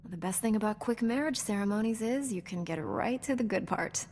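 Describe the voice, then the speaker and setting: A woman's voice: mid-range voice, fairly quick, darkish tone, slight background noise, natural voice. Woman playing a composed space knight in reverb. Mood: warm, composed. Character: composed, kindly female Jedi, dryly tactful leader. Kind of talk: scripted video game acting.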